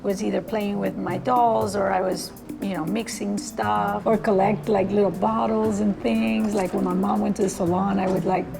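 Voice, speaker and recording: A woman's voice: medium pitch, little pitch movement, normal speed, gravelly, hardly any pauses, over music. A woman from Argentina, a cosmetics brand founder, chatting casually about her life and work and laughing easily. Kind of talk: casual conversation.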